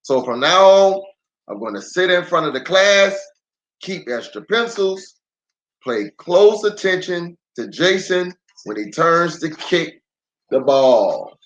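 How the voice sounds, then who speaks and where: A man reading a children's story aloud in an expressive, dramatic way with deliberate pauses. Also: on the high side, muffled recording. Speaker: storyteller, pastor. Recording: roomy echo, faint music.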